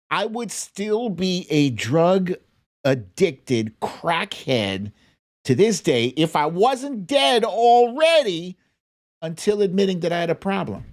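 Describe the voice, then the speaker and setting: Slow, blunt American political commentator. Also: medium pitch. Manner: blunt, indignant, emphatic. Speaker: host of a political commentary show. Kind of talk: opinionated political commentary, unscripted.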